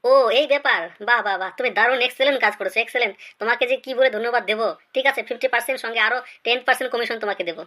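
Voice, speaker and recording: A woman's voice: a little high, racing, few pauses, pushed and bright. A woman voicing an eager, scheming character in a cartoon comedy. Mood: gleeful, scheming.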